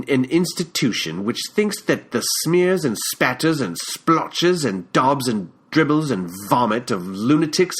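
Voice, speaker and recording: A narrator doing a stern older British gentleman, an artist who sounds wounded and scornful. He speaks in a pompous, indignant way, leaning on his words slowly and a touch higher than his usual voice. It is a storybook character voice. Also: husky. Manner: pompous, indignant, drawn-out emphasis. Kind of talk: audiobook character voice.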